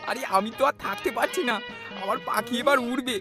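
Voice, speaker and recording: A man's voice: very high voice, fast talker, music in the background. A man voicing an impatient, whiny young village lad in a cartoon, jittery and over-eager. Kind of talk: exaggerated cartoon dialogue.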